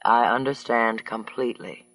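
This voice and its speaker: A man voicing a tired, fair-minded governor in his sixties who speaks calmly. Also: medium pitch, normal speed, no echo, pitched below the performer's own voice, close to an everyday voice. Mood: weary. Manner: calm, tired. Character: weary, fair-minded older male governor.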